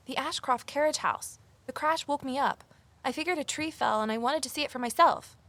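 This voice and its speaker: An actress playing an inquisitive amateur sleuth woman in an audio drama, expressive and pointed in her delivery. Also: high voice, exaggerated voice. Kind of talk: full-cast audio drama acting, narration and dialogue.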